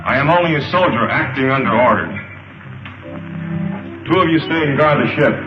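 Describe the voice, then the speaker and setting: A muffled, echoing old film soundtrack with loud music. An actor plays a stern soldier under orders, barking curt and stiff commands in a mid-pitched male voice. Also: moderate pace, natural voice. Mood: stern, commanding. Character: stern, dutiful male alien soldier or henchman. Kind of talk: 1930s film serial acting.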